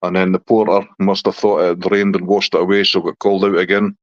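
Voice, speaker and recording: A man's voice: low-pitched, level tone, quick pace, no room sound, highs cut off. A middle-aged man from Scotland with a Scottish accent, chatting casually on a live stream and answering viewers' comments.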